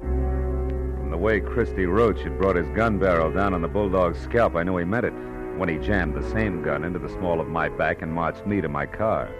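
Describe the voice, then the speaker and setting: Wisecracking American detective, low voice, dry, sardonic, old radio crime drama, music behind. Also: male voice, lively intonation, normal speed. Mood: wry, hardboiled. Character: wry, tough, wisecracking male private detective. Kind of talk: hardboiled 1940s radio narration and dialogue.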